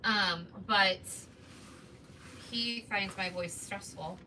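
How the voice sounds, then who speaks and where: A woman in her thirties with a soft, relaxing Midwestern voice, chatting casually with viewers during a crafting livestream. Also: medium pitch, drawn-out words. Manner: chatty, greets viewers while working. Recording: distant mic with background noise.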